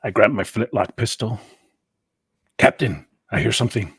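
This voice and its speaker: A man's voice: lowish voice, moderate pace, slightly rough, no room sound, studio quality. A man playing a tabletop game, speaking as and narrating his character in the first person. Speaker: tabletop roleplaying player.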